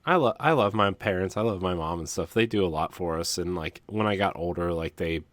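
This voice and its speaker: A man talking casually and candidly as a podcast co-host, with a halting, hedging delivery. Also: sits low, a bit bright, no room sound.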